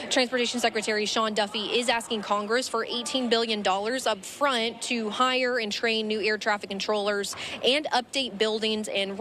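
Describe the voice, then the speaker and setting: A woman's voice: on the high side, a bit bright. A woman working as a field reporter, giving a live news report from on location. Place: on location at an airport. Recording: clear background ambient noise.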